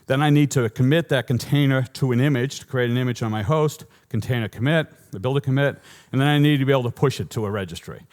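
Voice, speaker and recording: A man's voice: medium pitch, quick pace. A man with a Boston accent giving a technical talk at a conference, joking with the audience and often correcting himself mid-sentence. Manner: lively, joking, rambling with self-corrections. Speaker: conference speaker. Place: conference hall before an audience.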